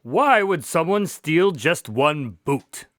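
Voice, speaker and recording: An actor playing an earnest, inquisitive detective's sidekick in an audio drama, agreeable and full of questions. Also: male voice, fairly high pitch, very animated, slow pace, fairly bright tone, no room sound, studio quality, full character voice. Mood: curious, earnest. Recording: clear background noise, vehicle sounds.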